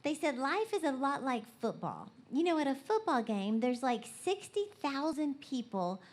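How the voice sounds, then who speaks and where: A woman's voice: sits high, very animated, normal speed. A woman with a Southern US accent giving a bubbly, folksy, conversational motivational talk to a live church gathering. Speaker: guest motivational preacher. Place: large indoor church event.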